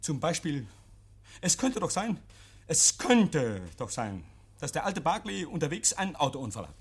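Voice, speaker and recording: A man's voice: a little high, very animated, measured pace, breathy edge, full character voice. An actor in a 1950s radio play playing a nervous, paranoid man hiding guilt, agitated and stammering. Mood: defensive, irritated. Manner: agitated, stammering, insistent, hears imaginary noises. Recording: vintage 1950s radio recording.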